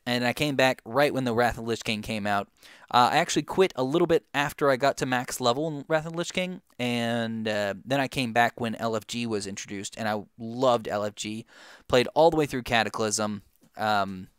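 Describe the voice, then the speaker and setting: A young man chats through viewer questions in a loose, rambling way, stopping and restarting as he goes. His voice is smooth and clear at a middling pitch. The recording is dry and close. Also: a bit bright, no room sound. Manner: rambling, many fillers and restarts. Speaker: gaming YouTuber answering viewer questions. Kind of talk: casual Q&A chat.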